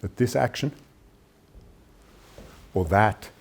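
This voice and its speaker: An actor playing a troubled, dutiful middle-aged public prosecutor and father, in an intense, deliberate theatrical monologue. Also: fairly low pitch, vocal fry, a little hiss or hum. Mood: torn, grave. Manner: intense, deliberate, with dramatic swells and drops.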